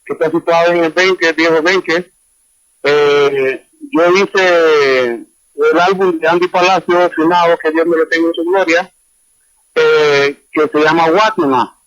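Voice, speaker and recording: A man from Honduras rambles easily through his story, full of fillers and asides. His voice sits somewhat high. It comes over a dull, dry remote line with little room sound. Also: measured pace, no echo. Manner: relaxed, rambling with many fillers. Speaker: guest musician. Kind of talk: online class interview, casual storytelling. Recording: dry, dull lo-fi call audio.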